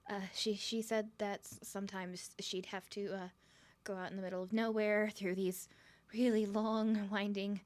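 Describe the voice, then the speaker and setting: A woman's voice: medium pitch, level tone, moderate pace, hardly any pauses, lightly acted. A woman playing a nervous, smitten young woman on a first date in an ASMR roleplay, stuttering and rambling with flustered giggles.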